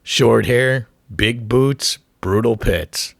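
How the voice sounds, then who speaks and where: A man's voice: mid-range voice, very slow, creaky, no room sound, studio quality. A man narrating a scripted music documentary in short punchy phrases, with an irreverent, profane edge.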